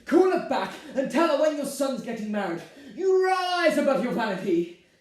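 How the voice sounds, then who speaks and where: Man acting shrill, demanding bride-to-be, echoey. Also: huge pitch swings, normal speed, a little mellow, full character voice. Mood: insistent, furious. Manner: shrill, insistent, scolding. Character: demanding, exasperated bride-to-be arguing with her fiancé. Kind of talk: stage monologue acting. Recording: echoey room, distant microphone.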